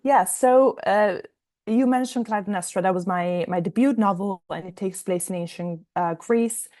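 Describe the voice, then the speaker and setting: A woman's voice: mid-range voice, moderate pace, darkish tone. A young woman from Italy, a native Italian speaker, answering interview questions as a novelist in a reflective, explanatory way.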